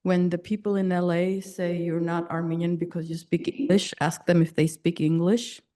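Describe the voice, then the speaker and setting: Direct, low-voiced woman in audience. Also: flat delivery, normal speed, mellow. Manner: direct, assertive, fluent. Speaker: audience member asking a question. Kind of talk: Q&A comment and question.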